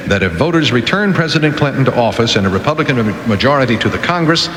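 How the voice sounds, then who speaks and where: A man's voice: mid-range voice, a bit bright, a little hiss or hum. Man reading radio news, echoey reverberant sound. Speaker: radio newsreader. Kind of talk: news reading with casual asides.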